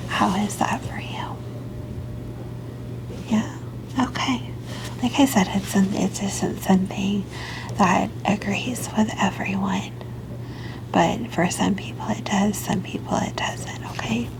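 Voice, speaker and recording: A woman in a whispered ASMR roleplay as a gentle, reassuring doctor, with a soft, breathy delivery. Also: medium pitch, steady tone, slow, long pauses, poor audio. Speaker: ASMR performer playing a doctor.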